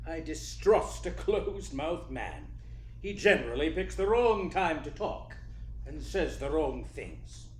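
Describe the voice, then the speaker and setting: An actor playing a jovial, sly, fat criminal schemer in a radio play, effusive and courtly in manner. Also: male voice, on the high side, lively intonation. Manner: effusive, courtly, deliberate, chuckling speech. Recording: roomy echo, faint noise.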